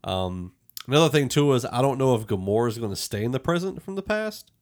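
A man's voice: medium pitch, sing-song, fast. A man hosting a podcast, chatting casually about films and thinking aloud as he goes. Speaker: podcast host. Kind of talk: casual film review chat.

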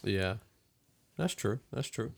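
A man's voice: on the low side, animated, takes it slowly, gravelly. A man hosting a casual movie review podcast, talking in an opinionated, conversational way. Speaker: podcast host.

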